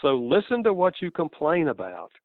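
A man's voice: on the high side, sing-song, normal speed, no echo. Man, Southern US accent, earnest author guest, lo-fi phone-quality line. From American South. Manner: earnest, explanatory, chuckles between points. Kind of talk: interview answers. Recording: tinny phone-like line, dry.

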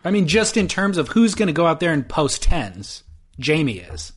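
A man's voice: on the high side, very animated, moderate pace, slightly rough. A man co-hosting a sports podcast, chatting casually in slang and giving blunt opinions. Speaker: co-host of a sports talk podcast. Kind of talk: casual opinionated chat about pro surfing.

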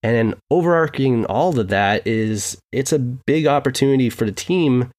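A man explaining his own article as a guest on a casual podcast, in a measured, slightly rambling way. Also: medium pitch, darkish tone. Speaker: guest writer.

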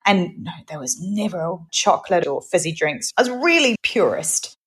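A woman's voice: lowish voice, sing-song, normal speed, a bit bright. A middle-aged woman from New Zealand co-hosting a podcast in casual chat, with a New Zealand accent worn down by years in England; she laughs often and pokes fun at herself. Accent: New Zealand, softened by English. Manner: laughs often, chatty and self-mocking. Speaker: podcast co-host.

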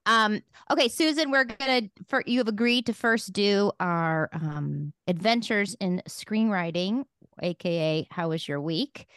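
A woman hosting a podcast in casual, chatty interview style with a gushing, excited manner. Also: mid-range voice, a little slow, smooth, dry recording, studio quality. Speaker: podcast host.

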